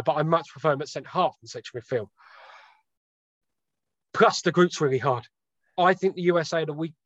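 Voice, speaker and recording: A man from Wales talks casually as a guest over a video call, rambling and correcting himself with a dry sense of humour. His voice sits a little high. The call audio is dull and lo-fi, with a very dry sound. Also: no room sound. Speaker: podcast guest, football fan.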